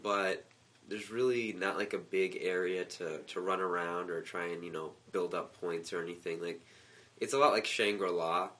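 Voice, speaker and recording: A man co-hosting a casual gaming podcast, his voice a little worn from a cold, talking in a relaxed way with laughs between points. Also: low-pitched, normal speed. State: slightly lost voice. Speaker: podcast co-host.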